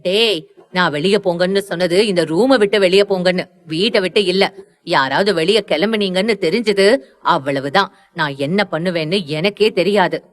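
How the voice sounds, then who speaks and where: A woman's voice: lowish voice, normal speed, lightly acted. A woman playing a stern, domineering middle-aged mother in an audio story, scolding and threatening with emphatic delivery. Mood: angry, scolding. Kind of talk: Tamil audio story acting.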